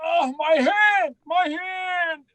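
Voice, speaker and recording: Man as a very high-voiced, hot-tempered young crook. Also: very slow, no echo, exaggerated voice. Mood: pained yelling. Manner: loud, blustering. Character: hot-tempered young male criminal, panicky and defiant. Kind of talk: 1940s radio drama acting.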